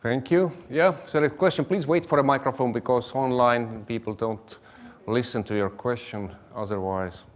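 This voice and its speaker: A man's voice: medium pitch, moderate pace. A man moderating a conference Q&A, reading out audience questions with hesitant, slightly non-native phrasing.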